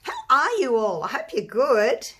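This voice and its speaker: Croaky Australian woman chatting through a craft. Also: fairly high pitch, big pitch swings, normal speed, a bit bright. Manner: chatty, rambling, talks through each step. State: croaky, husky voice. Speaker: resin craft channel host. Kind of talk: casual craft tutorial talk.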